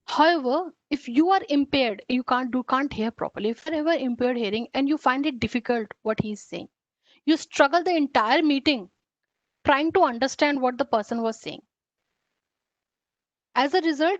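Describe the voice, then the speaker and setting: A woman's voice: sits high, normal speed, no echo. A woman with an Indian English accent giving an online training lecture in an earnest, repetitive way with many fillers and restarts. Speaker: faculty development trainer. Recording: dull lo-fi, dry online call audio.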